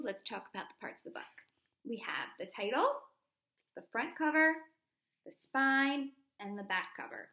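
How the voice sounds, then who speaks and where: Bright, high-voiced woman teaching young children. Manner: instructive, addressing young children with simple explanations and questions. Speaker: primary school teacher. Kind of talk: children's read-aloud lesson.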